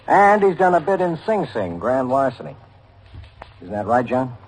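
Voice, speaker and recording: A man's voice: mid-range voice, sing-song, normal speed, husky. An actor playing a dutiful, plain-spoken city patrolman in an old-time radio detective drama. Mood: matter-of-fact. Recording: noisy vintage radio drama recording.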